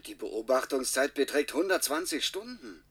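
An actor playing an apprehensive research assistant who reports technically and deferentially in a radio drama. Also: male voice, mid-range voice, lively intonation, moderate pace, fairly bright tone, slightly rough. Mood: worried reporting. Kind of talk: German radio drama acting.

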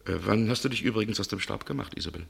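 A male actor playing a shrewd, cool man in a German radio mystery, speaking in a gravelly, deliberate, insinuating way. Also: fairly low pitch, a bit bright, heavily acted. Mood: accusing, controlled. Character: shrewd, cool man coolly interrogating a murder suspect.

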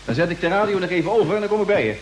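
A man's voice: high-pitched, lively intonation, racing. A man playing an obliging spaceship radio operator in an old radio drama, giving short procedural replies. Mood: alert, obliging. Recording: faintly noisy, clicking old radio recording.

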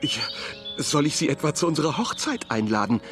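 A man's voice: fairly high pitch, very animated, brisk. Indignant, possessive male, raised register, agitated, radio crime drama with music under.

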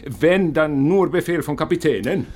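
An actor playing an evasive, stubborn ship's first officer with a Scandinavian accent and clipped, broken grammar in a radio drama. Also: male voice, fairly high pitch, expressive, moderate pace, a little mellow. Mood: evasive, defensive.

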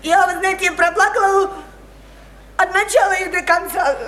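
A high-voiced, naive provincial young woman. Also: steady tone, moderate pace, fairly bright tone. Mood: earnest, gossipy. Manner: rambling, simple folksy speech full of hesitant fillers. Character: naive, odd, chatty provincial young woman, earnest and superstitious. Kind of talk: theatrical radio play dialogue. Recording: echoey, noisy stage radio play recording.

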